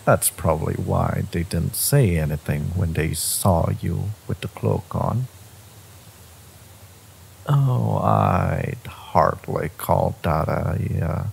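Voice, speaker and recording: A man voicing a smug, possessive, flirtatious fantasy villain in an intimate romance audio roleplay, teasing with hesitant pauses. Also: vocal fry, a little mellow, husky, heavily acted. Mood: amused, musing. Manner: teasing, hesitant pauses, frequent low chuckles.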